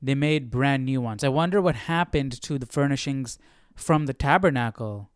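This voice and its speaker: A man's voice: mid-range voice, moderate pace, smooth, dry recording, studio quality. A young man hosting a Bible study stream, casually thinking aloud in a hesitant, questioning way. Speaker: Bible study livestream host.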